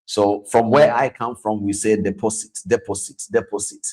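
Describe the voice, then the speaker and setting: A man's voice: on the low side, fairly even intonation, moderate pace, darkish tone. A man hosting a live-stream trading masterclass, chatting and repeating himself as he greets viewers by name. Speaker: trading coach and host. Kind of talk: live-stream masterclass with audience shout-outs.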